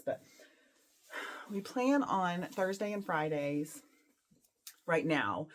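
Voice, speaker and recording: A woman with a raspy voice from a cold, chatting casually to her camera at home while doing laundry, rambling and laughing often. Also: low-pitched. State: sick and tired. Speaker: vlogger and teacher. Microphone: camera some distance away.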